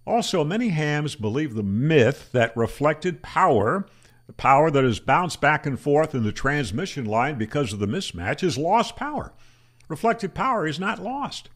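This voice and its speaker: Older man explaining radio myths, lively pitch. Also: moderate pace. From Florida. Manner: matter-of-fact, debunking tone with rhetorical questions. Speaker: ham radio educator. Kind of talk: explanatory talk to camera. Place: small indoor room. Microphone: studio microphone on boom arm.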